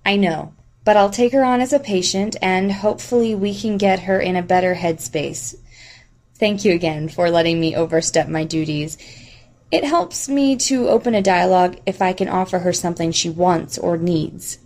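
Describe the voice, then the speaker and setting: A woman voicing a composed, weary female therapist in an audiobook, speaking in a measured, professional way. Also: fairly low pitch, darkish tone, lightly acted. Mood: concerned.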